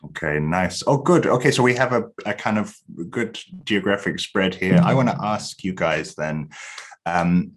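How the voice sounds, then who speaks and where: A man's voice: sits low, moderate pace. A man moderating a citizens' panel discussion, rambling with many fillers and restarts.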